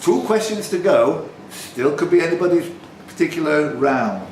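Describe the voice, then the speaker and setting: Male quizmaster, slightly high voice, bantering and self-deprecating, hesitant asides, roomy pub sound, faint background noise. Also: animated, normal speed, a little mellow. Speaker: quizmaster reading questions and scores. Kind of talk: live pub quiz hosting, questions and banter. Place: pub function room, indoors.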